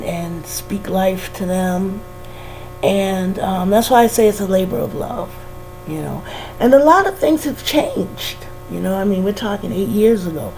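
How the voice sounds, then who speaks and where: Slow-talking tired woman with a cold. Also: mid-range voice, mellow, low-quality recording. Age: middle-aged. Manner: sighing, laughing, many "you know" fillers. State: stuffed up with a head cold. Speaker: vlogger. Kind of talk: casual reflective monologue.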